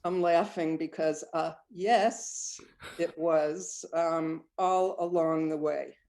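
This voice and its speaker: A woman's voice: sits low, fairly even intonation, takes it slowly, warm tone. Reflective older woman composer. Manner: reflective, searching, with frequent ums. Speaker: composer, interview guest. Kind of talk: thoughtful conversational interview.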